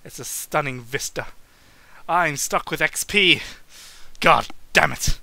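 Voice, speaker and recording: A man's voice: fairly high pitch, slow pace, creaky, bright, forceful tone, no room sound. A man giving a mocking, theatrical dramatic reading of a book, often tripping over his words and tossing in asides.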